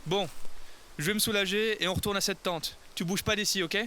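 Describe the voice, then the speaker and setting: A wry young adventurer who talks with quick, dry exasperation. His voice is high and bright, with faint noise in the background of this audio drama. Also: fairly even intonation. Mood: exasperated. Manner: wry, exasperated, easily awed. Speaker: lead character.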